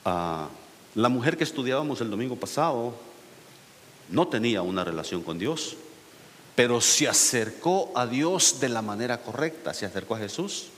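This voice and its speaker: Pastor preaching in an echoey hall. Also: male voice, mid-range voice, sing-song, normal speed, a bit bright. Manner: asks the congregation questions, rises to emphatic peaks. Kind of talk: sermon. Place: church sanctuary. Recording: echoey church hall with faint hiss.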